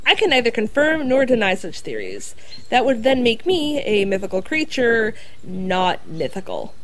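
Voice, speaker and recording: A woman's voice: fairly high pitch, big pitch swings, moderate pace, fairly bright tone, rough recording. A woman playing a talking dog in an audio drama, an aloof park guide with a formal, matter-of-fact manner.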